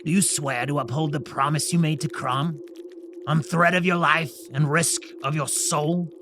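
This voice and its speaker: A man playing an older herald of a dark god in a fantasy audio drama, solemn, formal and commanding in manner. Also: fairly high pitch, steady tone, normal speed, background noise, lightly acted. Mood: grave, commanding. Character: older male herald of a dark god, stern yet merciful.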